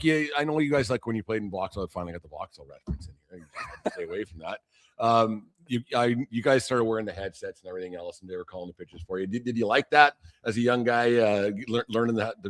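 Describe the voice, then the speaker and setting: Guy hosting a baseball podcast, talks fast, rambly jokey questions, close dry sound. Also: on the low side, fairly bright tone, no echo. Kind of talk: casual sports interview.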